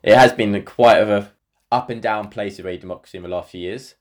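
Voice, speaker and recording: A man's voice: on the low side, fast. A man hosting a podcast, introducing topics and putting questions to guests. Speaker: podcast host.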